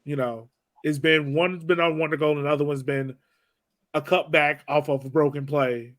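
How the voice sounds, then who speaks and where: A man's voice: a little high, fairly even intonation, moderate pace, fairly bright tone, dry recording, studio quality. A man co-hosting a casual sports podcast, chatting about a game in a playful, joking way. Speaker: podcast co-host.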